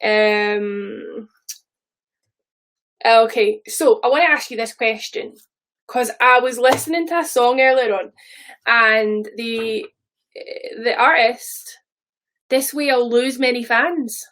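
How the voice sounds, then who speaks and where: A woman's voice: a little high, slow pace, pauses often, fairly bright tone. Chatty Scottish woman in her thirties. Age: 30s. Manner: chatty, rambling, swears casually. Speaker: live streamer. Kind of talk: casual live stream chat.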